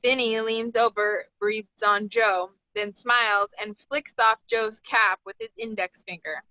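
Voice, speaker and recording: A woman's voice: a little high, steady tone, unhurried, no echo. A woman narrator reading screenplay stage directions aloud at a table read. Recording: dull, dry lo-fi audio.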